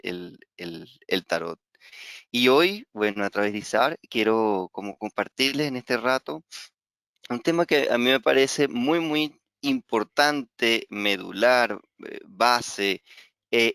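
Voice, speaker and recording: A man's voice: mid-range voice, fairly bright tone, clean tone, no room sound. A middle-aged man from Venezuela with a Venezuelan Spanish accent, teaching astrology in an online webinar, talking hesitantly with many fillers and repeated words. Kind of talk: webinar lecture.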